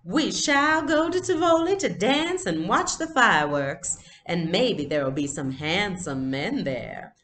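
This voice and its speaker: A woman's voice: fairly low pitch, animated, unhurried, hardly any pauses, fairly bright tone, voice pushed up. A woman voicing a playful girl who pretends to be a grand doll lady, drawing out her words in a storybook reading. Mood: playfully grand. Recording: echoey room, faint noise.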